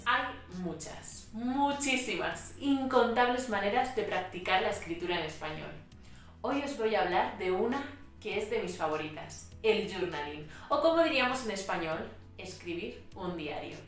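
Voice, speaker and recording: A woman from Spain explains language tips in a patient, clear teaching voice. She sounds set back from the mic, with a roomy echo around her and soft music underneath. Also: mid-range voice, lively intonation, moderate pace. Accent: Spain Spanish. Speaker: Spanish teacher. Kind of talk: educational vlog narration. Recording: echoey room, mic at a distance.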